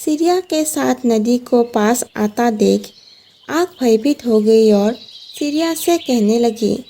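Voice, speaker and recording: A woman's voice: a little high, animated, slow pace, gravelly, mellow. A woman reading scripted narration for a children's moral story.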